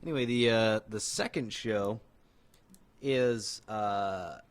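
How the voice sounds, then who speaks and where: Guy, lowish voice, keeps saying uh while plugging his other shows. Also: slow, clear, resonant tone. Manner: hesitant, lots of uh, chatty. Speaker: co-host. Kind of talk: casual live-stream talk.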